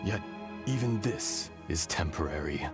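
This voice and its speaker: A man playing an ancient earth dragon in human form in a video game, solemn and philosophical, speaking in grave, measured, poetic phrases. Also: sits low, animated, loud music behind the voice. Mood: somber, fatalistic.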